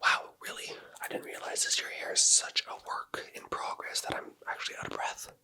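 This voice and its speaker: An ASMR performer whispering softly and teasingly, close to the mic, in an unhurried roleplay as a barber. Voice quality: breathy whisper. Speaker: ASMR creator playing a barber in a haircut roleplay. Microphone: close-up ASMR microphone.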